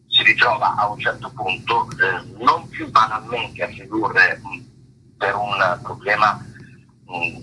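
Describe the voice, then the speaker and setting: Deep, forceful man answering haltingly, noisy line. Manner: halting, searching for words, rephrasing himself. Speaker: stage actor, interview guest. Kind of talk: unscripted interview answers.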